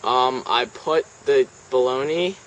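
Teenage male prank caller, mid-pitched, hesitant and deadpan, faint outdoor noise on camera audio. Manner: hesitant, halting, straight-faced. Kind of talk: deadpan prank phone call. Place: outdoors on a house's back deck. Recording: noisy outdoor camera audio, insects, traffic.

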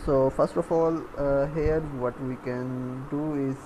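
A man giving an unscripted coding tutorial as an instructor, talking haltingly as he thinks aloud while typing. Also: medium pitch, steady tone. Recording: clear background noise, room ambience.

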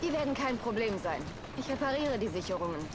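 Confident young woman adventurer, higher-pitched. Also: sing-song, fast talker, background noise. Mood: confident, curious. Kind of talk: German video game dub acting.

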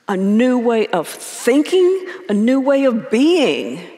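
A woman in her sixties, a priest giving a calm, measured sermon at a church pulpit microphone, in a lightly voice. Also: mid-range voice. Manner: calm, measured, gentle repetition. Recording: long church reverb.

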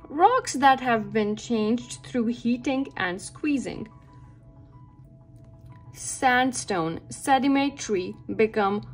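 A woman reading a nonfiction picture book aloud for children, with careful, deliberate and expressive phrasing. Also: fairly high pitch. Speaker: read-aloud narrator for children. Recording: background music under the voice. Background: music.

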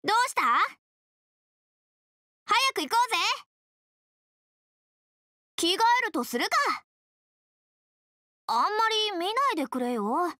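A woman voicing a brash, tomboyish young game character, hearty but easily flustered, who talks in rough, blunt slang. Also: extremely high pitch, very animated, slow, pauses often, bright, forceful tone, no echo, clean studio sound, full character voice. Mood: fired up. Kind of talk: video game character voice lines.

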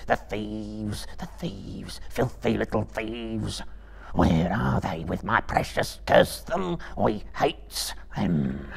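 A wretched, spiteful little creature, hissing and whining in a raspy voice. He drags his words out slowly, wheedling and cringing as he repeats himself, and his pitch swoops wildly. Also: expressive, heavily acted. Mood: bitter, obsessive. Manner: whimpering and wheedling, broken grammar, repeats himself. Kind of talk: dramatic live reading.

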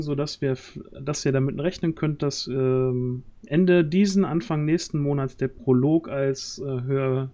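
A man in his thirties hosts a casual chat stream from home. His voice sits at an average pitch with a dark, mellow tone, picked up close on a microphone. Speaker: host of a role-play audio drama project stream. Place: small room at home, on a group video call. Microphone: close boom-arm studio mic, headset.

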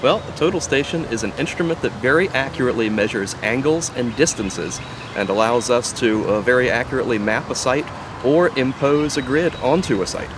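Man explaining, mid-pitched voice. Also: animated, a little fast, background noise. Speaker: archaeologist, surveyor. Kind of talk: explaining equipment in an interview. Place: outdoors by a wooden fence and trees.

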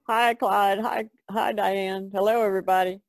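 A woman's voice: mid-range voice, fairly even intonation, moderate pace, dry recording. A woman co-hosting a podcast, introducing herself casually as an artist.

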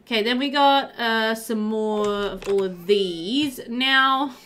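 A woman's voice: on the high side, slow, fairly bright tone, slight background noise. A woman in her thirties giving casual, chatty let's-play commentary, easygoing and thinking aloud as she plays. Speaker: gaming video host.

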